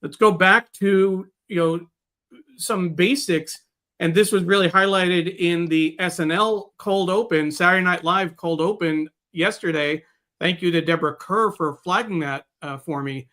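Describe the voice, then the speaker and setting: A man co-hosting a live discussion show, speaking casually in a halting, hesitant way. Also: high voice, pushed and bright.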